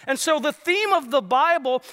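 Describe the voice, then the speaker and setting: A man's voice: very high voice, animated, moderate pace, bright, forceful tone, big-room sound. A man preaching as lead pastor, joking with the congregation and asking them questions. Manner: jokey, conversational, asks the crowd questions. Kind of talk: sermon with audience banter. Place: large church hall.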